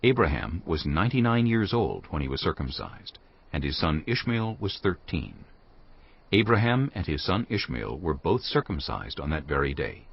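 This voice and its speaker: A very low-voiced man narrates scripture with animated intonation. His storytelling is measured and solemn. The sound is slightly dull. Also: creaky, breathy edge.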